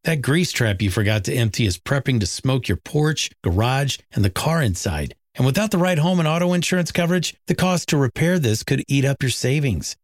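A male announcer reads a sponsor spot in a measured way. His voice is mid-pitched. The recording is dry and close. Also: no room sound. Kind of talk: sponsor ad read.

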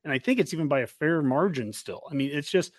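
A man's voice: on the high side, animated, fast talker, no echo, clean studio sound. An American man hosting a podcast in a casual sports interview chat. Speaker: podcast host.

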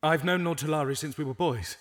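An actor playing a formal gentleman testifying under oath in a fantasy audio drama, measured and declamatory. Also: fairly high pitch, close to an everyday voice. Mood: solemn, formal. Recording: long echoing hall reverb.

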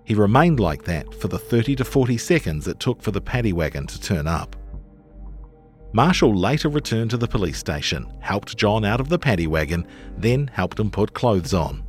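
A man's voice: lowish voice, big pitch swings, moderate pace, soft background music. A man with a New Zealand accent reading scripted journalistic narration for a podcast. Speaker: narrator of a long-read podcast.

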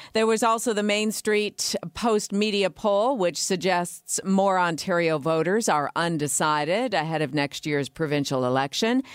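Smooth-voiced Canadian woman hosting radio. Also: sits low, dry recording, studio quality. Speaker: radio phone-in host. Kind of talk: radio talk presenting. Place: radio studio.

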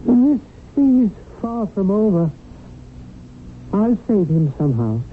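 An actor playing an earnest, anxious, proper guardian angel in a radio drama, loyal and fretful. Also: male voice, high-pitched, sing-song, slow, a little hiss or hum, full character voice. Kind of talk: radio drama acting and first-person narration. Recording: tinny radio broadcast with music.

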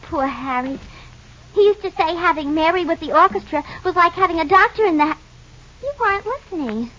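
An actress playing an excitable, chatty young showgirl in an old American radio drama, breathless and wide-eyed. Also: very high voice, brisk. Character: excitable young showgirl musician, plain-spoken and impressionable. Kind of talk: 1940s-50s radio acting. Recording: muffled, hissy old radio recording.

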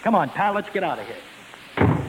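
Brash high-pitched American wise guy. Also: sing-song, normal speed. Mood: resentful, scornful. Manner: slangy, needling. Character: brash male vaudeville sidekick, resentful and scornful wise guy. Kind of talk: 1950s radio drama acting. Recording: hissy, reverberant old radio recording.

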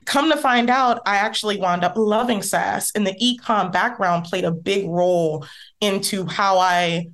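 Woman podcast guest, mid-range voice, lively, emphatic, conversational. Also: mid-range voice, normal speed. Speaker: podcast guest, content strategist. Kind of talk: conversational interview.

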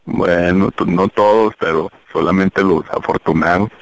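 A mechanic from Jalisco taking an unexpected phone call. He speaks relaxed and a bit wary at first. His voice is lowish and gravelly. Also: a little slow, no room sound. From Jalisco, Mexico. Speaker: mechanic, prank target.

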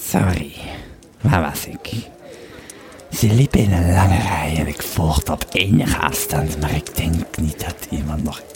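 A man playing a weary, troubled Roman legionary who tells his tale haltingly in an audio drama. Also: very deep voice, a crowd in the background. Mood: uneasy, brooding. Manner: halting, repeating words, hushed at times. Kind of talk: audio drama monologue and narration.

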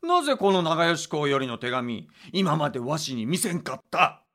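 A man's voice: fairly high pitch, big pitch swings, moderate pace, fairly bright tone. A man playing an exuberant, imperious warlord ruler in a Japanese period audio drama, with grandiose, old-fashioned lordly speech. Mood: reproachful.

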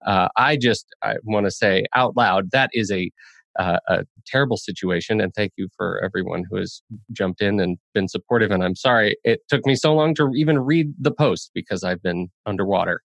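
A man hosting a podcast in a casual, chatty way, expressive and playfully dramatic in his emphasis. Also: lowish voice, moderate pace, creaky, no echo. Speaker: podcast host.

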